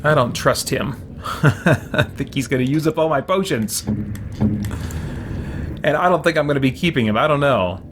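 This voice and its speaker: A man's voice: on the high side, expressive, moderate pace, pauses often. Man musing through a game, noisy background. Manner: musing, talking to himself. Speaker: video game streamer. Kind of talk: casual let's play commentary, thinking aloud.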